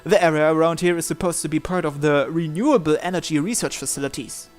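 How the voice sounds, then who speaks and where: A man's voice: fairly high pitch, fast talker, soft background music. A man hosting a let's play, reading story narration aloud and breaking off for casual asides on the text. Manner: stumbles and breaks off to comment on the text.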